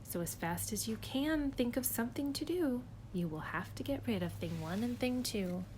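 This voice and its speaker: A woman's voice: a little high, lively intonation, normal speed, noisy background, pitched above the performer's own voice, close to an everyday voice. A woman voicing a fussy, fretful pet fish in a storybook reading, scolding, anxious and insistent.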